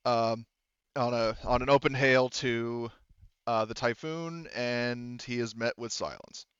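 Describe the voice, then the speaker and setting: A man's voice: medium pitch, slow, fairly bright tone, clean tone, no echo, muffled recording. A man working as a game master, improvising narration and banter for a tabletop game in a jokey way, feeling out his phrasing as he goes.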